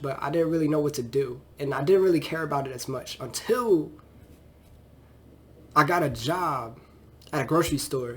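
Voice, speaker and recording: A man in his twenties, a fitness trainer, talking casually and rambling in a vlog with a stuffy nose from a cold, full of loose fillers. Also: a little high. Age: 20s. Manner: loose and chatty, with many fillers like "you know".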